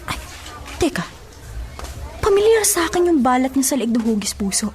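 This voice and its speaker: Giddy, boy-crazy young Filipina, lively swoops. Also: high voice, moderate pace. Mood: shocked, excited.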